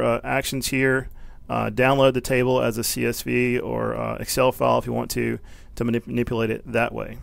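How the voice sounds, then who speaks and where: A man with a very creaky, gravelly voice at an ordinary male pitch leads an informal software walkthrough. He explains each step casually and thinks aloud without a script. The sound is dry, with no room echo. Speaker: software tutorial instructor. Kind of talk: informal, unscripted screencast walkthrough.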